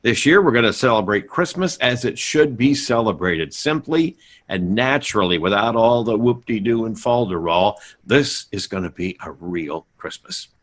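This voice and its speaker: Animated, husky, blustering sitcom dad. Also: male voice, on the low side, normal speed, few pauses. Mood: firm, declaring. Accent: American. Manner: blustering, stammering, emphatic. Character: idealistic, stubborn, exasperated sitcom father, middle-aged. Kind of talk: 1950s radio sitcom acting, performed by players.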